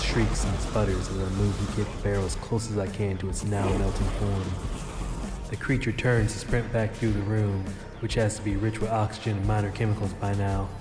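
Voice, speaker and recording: Man playing a wry low-voiced cyberpunk operative. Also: lightly acted. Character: wry, injured, reckless male cyberpunk operative and narrator. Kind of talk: first-person dramatic narration in an audio drama. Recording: roomy reverberant voice over music bed.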